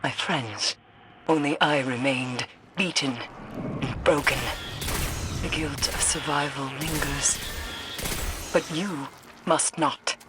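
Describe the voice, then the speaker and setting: An actress playing a grim, haunted woman mystic in a video game, brooding, portentous and deliberate in manner. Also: low voice, noisy background. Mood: grieving, bitter. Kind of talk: dramatic video game acting.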